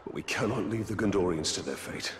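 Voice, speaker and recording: A man's voice: deep voice, fairly quick, lightly acted. A man playing a principled ranger warrior in a fantasy video game, earnest and insistent. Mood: urgent. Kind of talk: dramatic video game acting.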